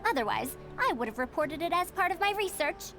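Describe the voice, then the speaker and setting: A woman voicing a proud, excitable young-sounding scholar with theatrical, old-fashioned phrasing in a game. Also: very high voice, a little fast, soft background music, heavily acted. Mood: composed, explanatory.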